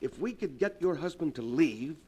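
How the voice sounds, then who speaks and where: A grave, resolute doctor in a 1940s radio melodrama. His voice sits somewhat high for a man, and he speaks with earnest, deliberate theatrical weight on a vintage radio recording. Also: expressive, darkish tone, husky. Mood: grave, urgent. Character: grave, resolute male doctor plotting against evil spirit. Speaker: radio drama actor.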